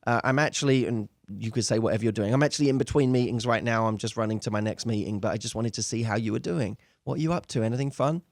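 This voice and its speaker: A man's voice: mid-range voice, fast, few pauses, no echo. Male dating coach, close dry sound. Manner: emphatic, acts out example phone dialogue. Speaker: dating coach and show host. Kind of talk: conversational advice monologue to viewers.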